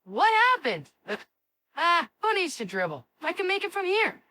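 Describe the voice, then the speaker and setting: A woman voicing a spirited, cocky and excitable cartoon kid with an exclamatory, dramatic delivery. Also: very high voice, moderate pace, no echo, full character voice. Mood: eager, confident. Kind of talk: animated series voice acting.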